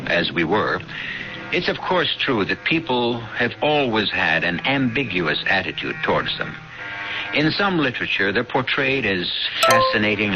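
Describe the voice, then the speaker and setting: A man hosting a radio mystery show in a scripted, theatrical style, sly and wry with dramatic pauses. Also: fairly low pitch. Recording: dull old radio recording, music.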